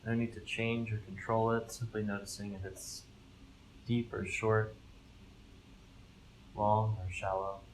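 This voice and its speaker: A man leading a guided meditation, giving gentle, calm instructions in measured phrases with pauses between them. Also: lowish voice, poor audio. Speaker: mindfulness fellow, meditation guide. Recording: live recording with clear background noise.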